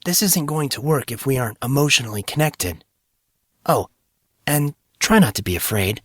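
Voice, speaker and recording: A man's voice: medium pitch, very animated, moderate pace, slightly rough, dry recording, pitched above the performer's own voice. A man voicing a cocky young male hero of a fantasy story, with dry, teasing, smug sarcasm. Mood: determined, teasing.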